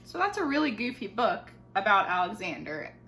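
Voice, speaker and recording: A young woman teacher reading a picture book aloud to her class from home, with expressive storybook delivery and dramatic pauses. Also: mid-range voice, a little hiss or hum. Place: home living room.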